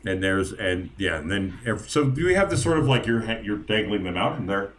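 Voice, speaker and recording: A man's voice: lowish voice, very animated, brisk, background noise. Jokey male player, easygoing. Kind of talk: joking in character in a tabletop game.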